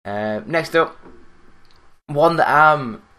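Plain-spoken monotone Yorkshire man. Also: a little high, extremely slow, clean tone. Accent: Yorkshire English. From Yorkshire, England. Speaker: podcast host. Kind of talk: solo gaming news podcast.

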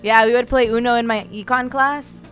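A woman's voice: fairly high pitch, steady tone, brisk, a bit bright, soft background music. Young woman chatting, rambling style. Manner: rambling, many fillers like "you know". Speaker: podcast co-host. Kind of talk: casual podcast chat.

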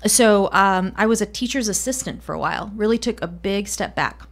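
A woman who grew up in Colombia, a podcast guest telling her career story reflectively, with many pauses. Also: medium pitch. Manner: reflective, with frequent fillers and restarts. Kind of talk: casual interview.

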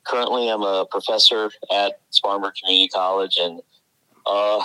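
South Carolina man, 40s, warm-hearted guest who chuckles and stumbles over restarts. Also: on the low side, steady tone, moderate pace, clear, resonant tone, poor audio. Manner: friendly, laughs often, stammers on restarts. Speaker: guest, college science teacher. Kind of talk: casual interview chat.

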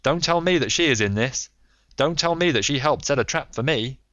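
A man's voice: medium pitch, animated, moderate pace, fairly bright tone, dry recording, muffled recording, voice pushed up, lightly acted. Man voicing a fierce American outlaw. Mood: defiant. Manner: fierce, pleading. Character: desperate, hot-tempered American outlaw man. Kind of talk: audiobook character voice.

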